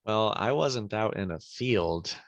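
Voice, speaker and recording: A man's voice: on the low side, animated, slow, no echo. A man co-hosting a podcast, joking easily in casual chat while just over a cold. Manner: joking, easygoing, often laughing. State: recovering from a cold. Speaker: podcast co-host.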